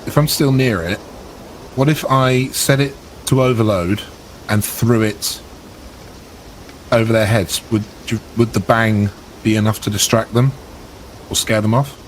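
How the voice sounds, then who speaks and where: A man's voice: lowish voice, a little slow, pauses often, a little hiss or hum, low-quality recording. Man thinking aloud as a tabletop player. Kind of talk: casual tabletop play.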